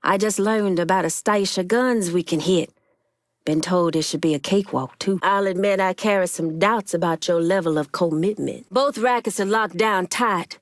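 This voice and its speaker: A woman playing a tough, proud crime boss in a video game, with an American accent and a measured, pointed, streetwise delivery. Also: fairly low pitch, dry recording, clean studio sound, natural voice. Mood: cool, skeptical. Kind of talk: video game character dialogue.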